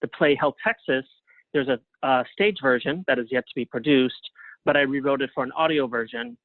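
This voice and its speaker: A man giving conversational interview answers as a guest, speaking haltingly and thinking aloud as he goes. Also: mid-range voice, animated, no echo. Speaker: guest playwright and librettist. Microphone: phone or call line. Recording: thin phone-line sound.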